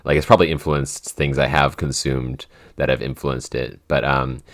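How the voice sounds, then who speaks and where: Very deep-voiced casual male podcast host. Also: level tone, normal speed, dry recording, studio quality. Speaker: podcast host and show's writer. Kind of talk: casual Q&A chat.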